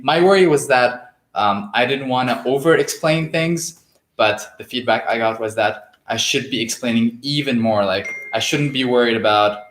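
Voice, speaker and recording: Steady young man, echoey room. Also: mid-range voice. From Syria. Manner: thoughtful, steady explaining. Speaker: product designer. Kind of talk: casual panel answer.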